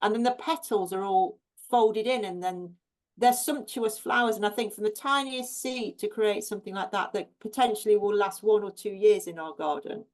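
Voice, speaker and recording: A woman's voice: mid-range voice, moderate pace, poor audio. Chatty woman gardener, full of ums. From England. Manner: chatty and warm, with many ums and restarts. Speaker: professional gardener, guest. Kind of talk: casual chat about her plants.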